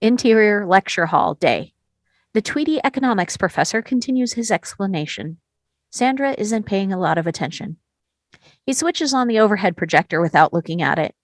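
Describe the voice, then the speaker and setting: A woman's voice: medium pitch, animated, normal speed, no room sound. A woman reading scripted stage directions as the narrator of a screenplay table read.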